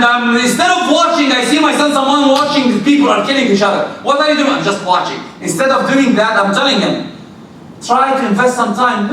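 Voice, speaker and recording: A middle-aged man with a loud voice giving a religious talk to young people, talking to them directly with many rhetorical questions. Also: very high-pitched. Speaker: lecturer addressing youth. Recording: very echoey hall, distant mic, faint noise.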